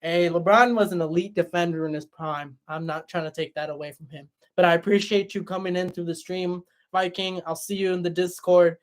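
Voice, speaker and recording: A young man hosting a livestream and answering chat in a relaxed, chatty way, his voice tired after hours of talking. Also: sits high, a little fast, hardly any pauses. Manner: relaxed, chatty, many fillers and restarts. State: voice tired after a long stream. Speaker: livestream host reading chat.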